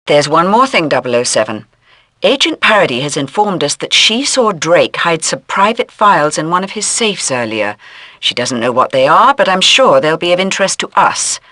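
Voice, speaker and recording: An actress playing a stern intelligence handler giving crisp, formal mission briefings in a video game. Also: female voice, on the low side, big pitch swings, normal speed, pushed and bright, dry recording. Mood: stern, businesslike. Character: stern, businesslike intelligence handler directing a secret agent.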